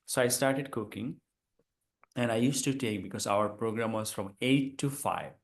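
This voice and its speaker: A man's voice: on the low side, normal speed. A man in his forties with a Bangladeshi accent, a communication professor talking as a guest and telling an earnest, rambling personal story. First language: Bengali. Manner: earnest, rambling, fond of "you know". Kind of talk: casual conversation, personal storytelling.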